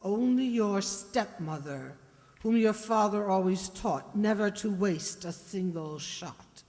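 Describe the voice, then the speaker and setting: Woman doing sick old stepmother, stern, dramatic radio play, slow, echoey hall. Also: mid-range voice, a little mellow, lightly acted. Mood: stern, accusing. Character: ailing older stepmother, stern and accusing. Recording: echoey hall, long reverb.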